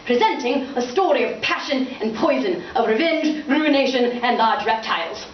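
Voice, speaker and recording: A woman's voice: on the high side, animated, moderate pace, far from the mic, pitched above the performer's own voice, natural voice. A woman voicing a melodramatic, self-absorbed older father, a pompous playwright, in a grandiose and fussy way at a live book reading. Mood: grandly dramatic. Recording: muffled, echoey, noisy room from afar.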